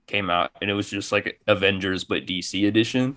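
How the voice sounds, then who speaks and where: A man's voice: lowish voice, fairly even intonation, a little fast, a bit bright. A man in casual, profane podcast banter, ranting with sarcasm and exasperation. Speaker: gaming podcast panelist.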